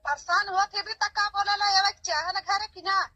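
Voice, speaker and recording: A woman's voice: very high-pitched, steady tone, normal speed, bright, forceful tone, dry recording, faint music behind. A middle-aged woman with a Bhojpuri accent, a mother scolding and brushing off the other person on a phone call. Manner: scolding, dismissive. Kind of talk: phone argument.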